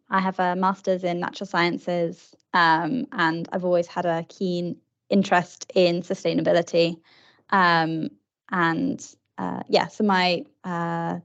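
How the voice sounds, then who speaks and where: Flat, gravelly woman, hesitant. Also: lowish voice. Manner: hesitant, with many ums. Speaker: panel guest, customer solutions manager. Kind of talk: casual panel talk. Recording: dull lo-fi sound.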